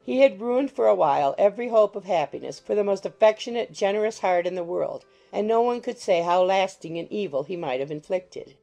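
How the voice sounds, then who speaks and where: A woman's voice: mid-range voice, lively intonation, brisk, gravelly, few pauses, mellow, faint music behind. A woman reading scripted literary narration for an audiobook.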